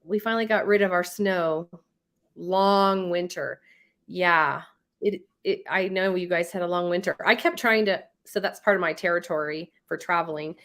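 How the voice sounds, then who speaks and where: A woman's voice: on the low side, normal speed, fairly bright tone. Congested woman chatting on a live stream. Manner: chatty, rambling, laughs often. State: congested from a head cold. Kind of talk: casual live stream chat, reading viewer comments.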